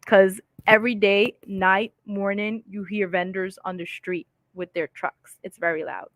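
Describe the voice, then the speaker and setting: A woman's voice: medium pitch, fairly even intonation, a little slow, fairly bright tone, no room sound. A woman hosting a casual live stream from home, chatting and reading viewer comments aloud with frequent laughs. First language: English.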